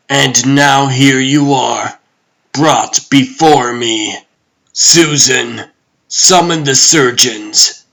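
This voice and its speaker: Man voicing a slow, haughty god emperor, bright and forceful. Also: medium pitch, full character voice, rough recording. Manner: pompous, commanding, deliberate. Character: imperious male god emperor, cold, haughty, brooding tyrant. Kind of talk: comic book dub, dramatic voice acting.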